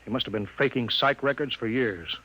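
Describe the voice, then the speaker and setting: An actor in a mid-century radio drama playing a shrewd, resolute chief engineer with a brisk, authoritative manner. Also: male voice, mid-range voice, sharp tone, close to an everyday voice, poor audio. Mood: grave. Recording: old radio recording with faint noise.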